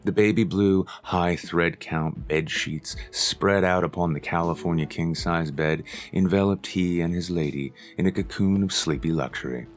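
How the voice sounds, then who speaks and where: A man reading a short story aloud as its narrator, in a measured, even storytelling manner. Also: low-pitched, soft background music. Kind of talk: scripted audiobook narration.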